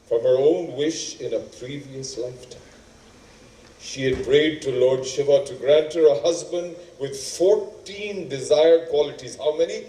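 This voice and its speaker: A learned, moralizing teller of epics on stage. His voice is mellow and slightly high for a man, and his delivery is deliberate and emphatic. It is heard from a little distance in an echoing space. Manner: deliberate, emphatic, repeats phrases for effect. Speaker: stage actor in a two-hander play. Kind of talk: theatrical storytelling of epic tales. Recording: echoey stage, distant microphone.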